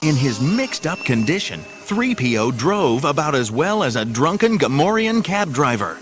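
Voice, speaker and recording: A man's voice: a little high, sing-song, moderate pace. A man narrating a scripted adventure drama in a theatrical, suspenseful way, with music behind him.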